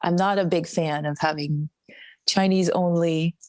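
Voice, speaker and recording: Woman, podcast host, lowish pitch, close dry sound, casual conversational interviewing. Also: steady tone, a little slow, no room sound.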